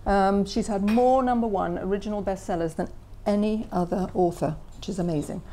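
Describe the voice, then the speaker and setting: A woman with a medium-pitched voice hosting a live author talk on a stage mic. She introduces her guest with enthusiasm and nudges the conversation along with leading questions, with a faint room murmur in the background. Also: brisk, warm tone, a little hiss or hum. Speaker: interviewer and event host. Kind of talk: prepared introduction then interview questions. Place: live talk event before an audience at an office.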